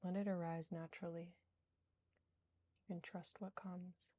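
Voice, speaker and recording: A woman guiding a yoga nidra relaxation in a soothing, gentle, unhurried manner with long pauses. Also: lowish voice, flat delivery. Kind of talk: guided relaxation meditation.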